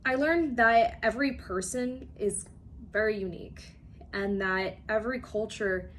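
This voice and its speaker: A woman's voice: a little high, measured pace, a little hiss or hum. A young woman student giving an earnest personal speech for a class, telling a story with occasional fillers. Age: young adult.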